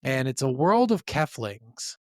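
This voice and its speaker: A man's voice: medium pitch, very animated, a little slow, no room sound, studio quality. A man co-hosting a gaming podcast, chatting informally and rambling as he thinks aloud, with some swearing. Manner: profane, informal slangy talk. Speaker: podcast co-host. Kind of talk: casual gaming podcast chat.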